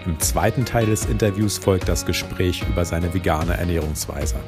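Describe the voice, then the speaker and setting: A man hosting a podcast, reading a calm scripted intro and then asking interview questions. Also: low-pitched, normal speed, over music.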